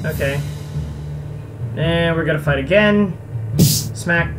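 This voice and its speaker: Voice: medium pitch, takes it slowly. A gamer giving casual gameplay commentary in a sarcastic, foul-mouthed, grumbling way. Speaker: let's player. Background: game music.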